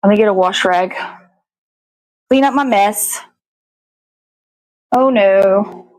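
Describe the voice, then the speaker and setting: A woman's voice: medium pitch, slow, a little mellow, low-quality recording. A woman chatting casually as she cooks in her kitchen, rambling and thinking aloud with little sing-song asides. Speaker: show host. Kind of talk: casual chat while cooking. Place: home kitchen.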